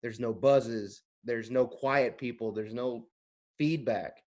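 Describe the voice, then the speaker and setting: A man with a medium-pitched voice talks as an audio technician on a panel. His delivery is conversational, like someone sharing experience from the job. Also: fairly even intonation, takes it slowly, darkish tone. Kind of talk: conversational panel talk with anecdotes.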